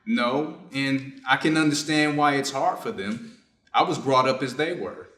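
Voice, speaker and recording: A man playing a conflicted, dutiful surgeon in a reader's theater piece, speaking in a measured, formal, earnest way. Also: medium pitch, a bit bright, close to an everyday voice. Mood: troubled, resigned. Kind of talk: reader's theater stage reading. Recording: echoey room.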